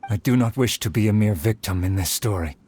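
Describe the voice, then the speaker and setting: A man's voice: lowish voice, mellow, a little hiss or hum, natural voice. A man playing an earnest, honorable samurai swordsman in a video game, formal and composed. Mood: stern, resolute. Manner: formal, composed, measured wording. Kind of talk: dramatic video game voice acting.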